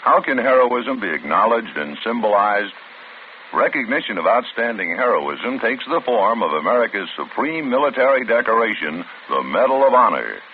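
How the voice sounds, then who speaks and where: A male announcer giving a formal, measured public service message. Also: on the low side, very animated, faint music behind. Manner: measured, dignified reading. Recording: tinny old radio broadcast with faint hiss.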